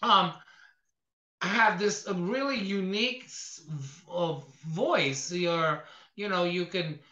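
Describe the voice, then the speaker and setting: A man's voice: high voice, takes it slowly, sharp tone. A man hosting an interview in casual chat, rambling and tripping over his words. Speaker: podcast host. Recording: roomy, dull lo-fi sound.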